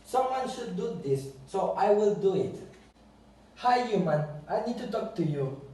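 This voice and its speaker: Casting: man as earnest puppet sea creature, high voice, halting non-native English phrasing, heard distantly in a very echoey room. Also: lively intonation, warm tone. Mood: earnest, determined. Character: earnest older sea creature, brave spokesman for the sea. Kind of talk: amateur puppet show acting.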